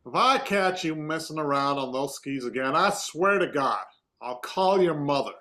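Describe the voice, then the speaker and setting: Man playing a gruff, grumbling sheriff. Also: on the high side, expressive, moderate pace, sharp tone. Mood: exasperated. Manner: grumbling, exasperated, folksy. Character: gruff, weary small-town male sheriff. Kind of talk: comedic table-read acting.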